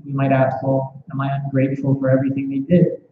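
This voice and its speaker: A man's voice: mid-range voice, completely flat delivery, fast, a little hiss or hum. A man leading a scripture class with questions, speaking reflectively and often restarting with repeated words. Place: temple class room. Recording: echoey muffled room recording.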